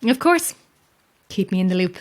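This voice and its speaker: An actress playing a guarded, sceptical lighthouse keeper in a horror audio drama, speaking in a measured, dry way. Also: female voice, mid-range voice, expressive, lightly acted. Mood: amused. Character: guarded, sceptical lone lighthouse keeper, woman, wryly amused.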